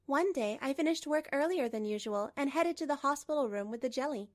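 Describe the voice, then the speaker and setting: Woman, high voice, scripted first-person storytelling, manga dub narrator. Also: animated, fairly quick. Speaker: narrator and lead voice actor.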